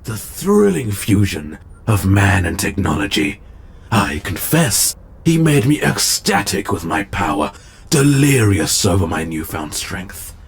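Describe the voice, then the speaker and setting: An actor playing a scarred, half-machine mad scientist killer in a comic dub, speaking with smug, theatrical menace. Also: male voice, sits low, sing-song, a little slow, creaky, heavily acted. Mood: smug, boastful. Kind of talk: scripted comic dub villain monologue.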